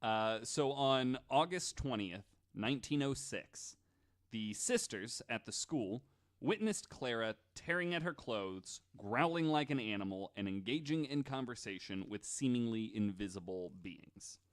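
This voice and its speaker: A man's voice: mid-range voice, expressive, unhurried, no echo, clean studio sound. A man hosting a podcast, casually retelling stories with wry joking asides. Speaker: podcast host.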